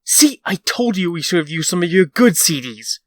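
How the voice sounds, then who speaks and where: A woman's voice: fairly low pitch, sing-song, normal speed, dry recording, studio quality. A woman playing a defensive, bumbling young schemer in a comic dub, with a whiny manner.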